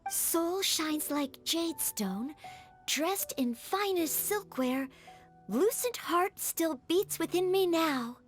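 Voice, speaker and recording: A very high-pitched, earnest young girl. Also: expressive, slow, full character voice. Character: sweet, earnest, admiring young girl poet. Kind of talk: animated game voice acting with verse recitation. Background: music.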